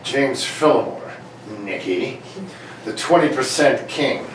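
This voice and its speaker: A man's voice: mid-range voice, animated, slow pace. Man as cheerful, smug older-generation detective dad for a radio play, off-mic in a reverberant room. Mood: smug, explaining. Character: cheerful, gloating police inspector father. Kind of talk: old-time radio play reading. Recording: very echoey room, distant mic, noise.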